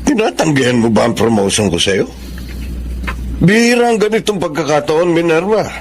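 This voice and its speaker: A man's voice: a little high, sing-song, moderate pace, slight background noise. A man playing a company boss in a Filipino radio drama, formal, persuasive and insistent, with a Filipino accent. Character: Sir Edward, persuasive male company boss.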